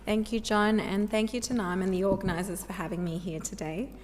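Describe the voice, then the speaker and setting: A woman specialist giving a medical conference lecture in a measured, informative way while losing her voice. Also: mid-range voice, steady tone, darkish tone, slight background noise. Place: conference hall. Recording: long hall reverb.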